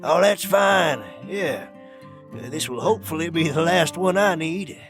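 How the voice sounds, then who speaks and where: Creaky, high-voiced jittery old prospector. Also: male voice, measured pace, over music. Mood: nervous, evasive. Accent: rural American. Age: older. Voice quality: creaky, cracked. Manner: stammering, nervous, repeats himself. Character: jittery feral old gold prospector, secretive and muttering. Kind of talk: western audio drama acting.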